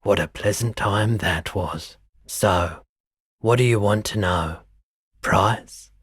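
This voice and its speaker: An actor playing a laid-back, world-weary pawnshop owner in a video game, husky, soft-spoken and wistful, with an unhurried, low-key delivery. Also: male voice, no room sound, studio quality, exaggerated voice. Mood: businesslike, relaxed. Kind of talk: video game character dialogue.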